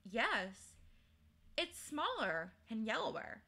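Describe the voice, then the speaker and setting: A woman's voice: fairly high pitch, very animated, slow pace, sharp tone, heavily acted. A woman voicing an earnest young boy in a storybook reading.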